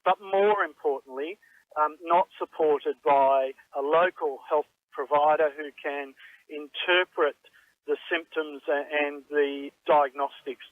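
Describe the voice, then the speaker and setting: Higher-voiced man on the phone. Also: steady tone, slow, no echo. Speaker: health organisation chief executive. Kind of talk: interview soundbite, explaining. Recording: narrow telephone line.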